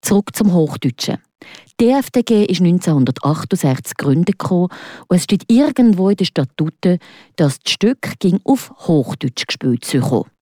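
A woman's voice: lowish voice, very animated, normal speed, warm tone, dry recording, clean studio sound. A woman radio reporter with a Swiss German accent, narrating a scripted theatre report.

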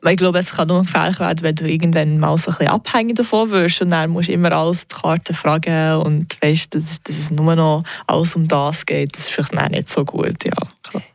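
A young woman with a slightly gravelly, fry-tinged voice talks in an easy, chatty way, pausing often to gather her thoughts. Her first language is English. The sound is close and dry, with no room around it. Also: deep voice, dry recording. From Switzerland. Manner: relaxed, chatty, frequent hesitations and laughs. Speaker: tarot reader. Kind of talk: casual interview answers.

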